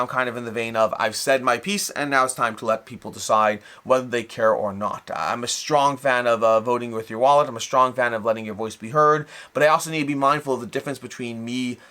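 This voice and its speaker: A man presents a casual recap as the host of a hobby review channel. His voice sits at an average male pitch and moves at a rapid clip. He is talkative and unscripted, rambling a bit as he qualifies and rephrases his own statements. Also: sharp tone.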